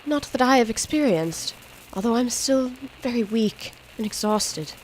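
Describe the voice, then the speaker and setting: A woman's voice: fairly high pitch, lively intonation, a little slow, darkish tone, slight background noise, poor audio. An actress playing a weak, exhausted wealthy mother and former captive, weary and pleading, in an old-time radio drama.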